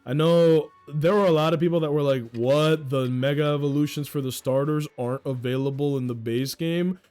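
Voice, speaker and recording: A young man streaming a game and chatting casually, with a loud voice and a rambling, profane, self-mocking way of talking. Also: a little high. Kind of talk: casual live-stream chat while gaming.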